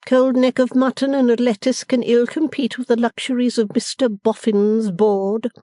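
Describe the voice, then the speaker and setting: A woman's voice: flat delivery, moderate pace, mellow, dry recording, clean studio sound, lightly acted. A woman voicing a solemn, majestic middle-aged mother in a storybook, stately, ceremonious and full of grand disapproval. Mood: solemn reproach. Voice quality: deep-toned.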